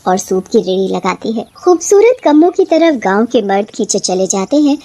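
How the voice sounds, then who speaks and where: A woman storyteller reading scripted narration for an animated horror tale. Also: medium pitch, normal speed.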